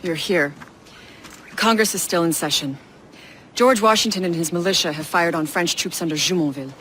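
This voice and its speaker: An actor playing an urgent, formal colonial messenger in a video game cutscene. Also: male voice, on the high side, moderate pace, sharp tone, a little hiss or hum. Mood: urgent, grave.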